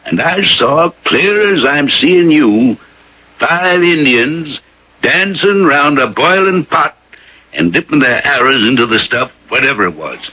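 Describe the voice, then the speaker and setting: An actor playing a folksy, earnest and superstitious Maine innkeeper in a radio drama. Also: male voice, fairly high pitch, lively intonation, measured pace, bright, forceful tone, a little hiss or hum. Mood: earnest, uneasy. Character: plain-spoken, superstitious rural Maine innkeeper, male, deferential. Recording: dull old radio broadcast.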